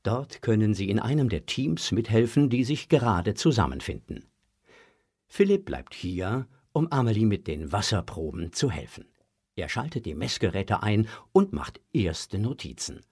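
A man narrating a children's audio drama in a scripted storytelling style, with a husky voice. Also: fairly low pitch, big pitch swings, moderate pace, darkish tone.

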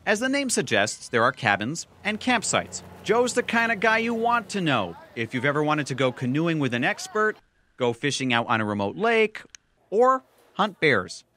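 A man's voice: fairly high pitch, big pitch swings, moderate pace, bright, forceful tone, a little hiss or hum. Wry male travel-show narrator. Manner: wry, dry humor. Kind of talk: scripted voice-over narration.

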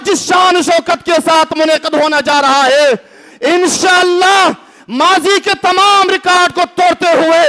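A middle-aged man with a Pakistani Urdu accent, giving an impassioned speech at a lectern in a packed hall, rising to shouts and rhetorical questions. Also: very high-pitched. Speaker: religious party speaker. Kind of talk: fiery rally speech. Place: large packed hall, indoors. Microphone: lectern mic.

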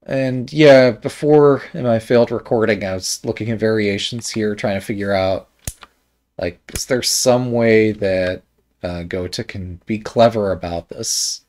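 Slow, musing man thinking aloud. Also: lowish voice, steady tone, a little mellow. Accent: American Midwest. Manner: hesitant, musing, many ums and trailing thoughts. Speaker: shogi game reviewer. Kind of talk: casual game commentary while thinking aloud.

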